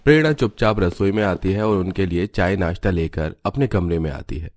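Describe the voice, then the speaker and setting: A man's voice: low voice, brisk, darkish tone, dry recording, clean studio sound. A man narrating a scripted cartoon family story.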